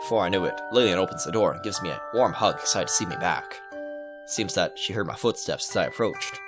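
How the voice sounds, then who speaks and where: A man reads a visual novel aloud as a let's play host, slipping into casual commentary. His voice is low and moves up and down in a lively way. Music plays strongly behind him. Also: a little fast. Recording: music under the voice.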